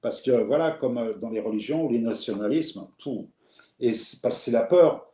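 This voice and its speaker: Parisian host, musing and rambling, mid-pitched, echoey room. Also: male voice, lively intonation, moderate pace, mellow. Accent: Parisian French. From France. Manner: rambling, reflective, many fillers and restarts. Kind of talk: casual live conversation. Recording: roomy echo.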